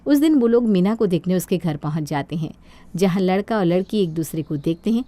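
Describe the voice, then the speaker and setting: A woman's voice: on the low side, expressive, fairly quick, warm tone. A woman narrating a scripted children's moral story.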